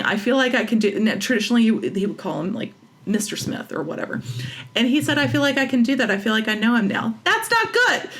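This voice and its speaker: A woman's voice: mid-range voice, gravelly. An American woman talking casually and emphatically as an interview guest, giving opinions and repeating phrases for stress. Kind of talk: casual, opinionated creative talk.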